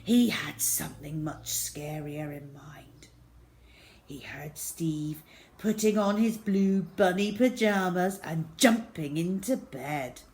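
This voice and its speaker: A woman reading a story to children as host, dramatic, with a way of dropping into suspenseful hush. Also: low-pitched, slow pace, slight background noise. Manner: dramatic, with hushed suspenseful drops.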